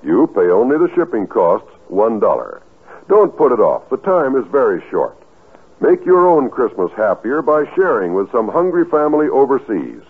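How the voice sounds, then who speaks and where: A man working as a radio announcer in the formal 1950s style, reading earnestly with deliberate enunciation. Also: medium pitch, very animated, breathy edge. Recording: muffled, thin 1950s radio recording.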